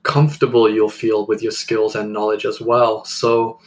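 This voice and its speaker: A man's voice: lowish voice, level tone, moderate pace. A man in his thirties co-hosting a podcast for English teachers, talking in a reflective, encouraging way about his teaching career. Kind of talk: conversational podcast discussion.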